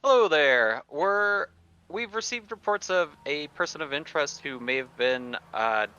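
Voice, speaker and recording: A man's voice: fairly high pitch, lively intonation, measured pace, sharp tone, clear, resonant tone, muffled recording, soft background music. A man playing a tabletop roleplaying game, talking casually and partly in character.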